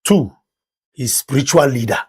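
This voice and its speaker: A middle-aged Nigerian man with a Nigerian English accent and a loud voice, talking emphatically in a studio discussion. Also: medium pitch, moderate pace, breathy edge. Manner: emphatic, excitable, rises sharply when stressing points. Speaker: discussion panelist.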